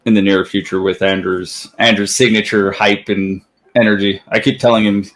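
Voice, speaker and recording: A man talking calmly as a guest in a casual interview, in a fairly flat way, with many "like"s. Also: on the low side, moderate pace. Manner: calm, says he has a monotone voice, lots of "like". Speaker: guest, book reviewer. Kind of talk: casual chat.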